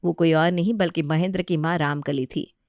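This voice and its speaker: A woman's voice: low-pitched, steady tone, normal speed, darkish tone, dry recording, clean studio sound. A woman narrator reading a scripted family story.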